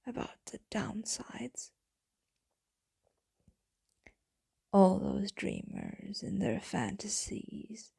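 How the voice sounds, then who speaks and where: A devoted, sorrowful vampire woman, played by a female voice actor murmurs to her lover in an ASMR roleplay. Her voice is very husky and breathy, slipping between whisper and gravelly fry. It sounds close and dry, with a tender, brooding temper. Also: mid-range voice, no echo, exaggerated voice. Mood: resigned, regretful. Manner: slow, brooding, hushed delivery. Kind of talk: intimate ASMR roleplay monologue.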